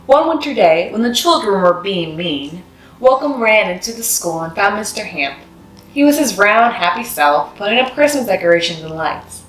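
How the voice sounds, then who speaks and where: A woman's voice: mid-range voice, animated, moderate pace, a bit bright. A woman narrating a children's story expressively, as a read-aloud host. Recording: roomy echo, music behind.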